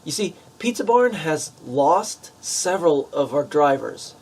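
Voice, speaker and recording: A man plays a nervous pizza manager in a radio play, with a slightly high, husky voice. He is polite, hesitant and wary. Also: big pitch swings, a little slow, darkish tone, full character voice, rough recording. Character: nervous, polite male pizza shop manager making a delivery.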